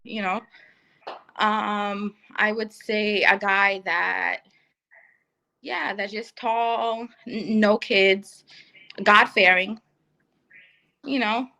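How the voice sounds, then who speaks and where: A woman's voice: mid-range voice, fairly even intonation, drawn-out words, vocal fry, long pauses, sharp tone, rough recording. Hesitant Trinidad-born woman in her thirties. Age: 30s. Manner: hesitant, with many ums. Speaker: guest caller on a matchmaking show. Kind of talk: video call interview. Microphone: video call.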